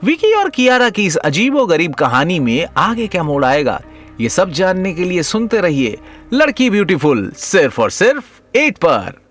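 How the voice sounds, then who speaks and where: A man's voice: sits high, huge pitch swings, moderate pace, soft background music. A man narrating a comedy story as a storyteller, in a dramatic scripted style.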